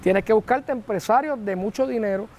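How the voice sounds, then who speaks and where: A man's voice: high voice, darkish tone. A man in his thirties giving direct, coaching advice to camera outdoors on a lapel mic. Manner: direct, coaching, speaks fast in bursts. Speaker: filmmaking teacher. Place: outdoors by palm trees.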